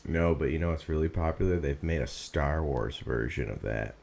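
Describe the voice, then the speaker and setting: A man's voice: a bass voice, moderate pace, vocal fry, a little mellow. A man co-hosting a casual talk show, laid-back, laughing often and swearing. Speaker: podcast co-host. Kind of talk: casual chat.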